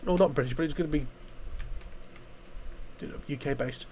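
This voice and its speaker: A young British man talks loosely over his gameplay, his voice a little hoarse after an illness and sitting somewhat high. He rambles, restarts sentences and swears casually. A faint hiss runs under the recording. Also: a little fast, warm tone. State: hoarse from a chest infection. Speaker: gaming YouTuber. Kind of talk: casual commentary while playing a game.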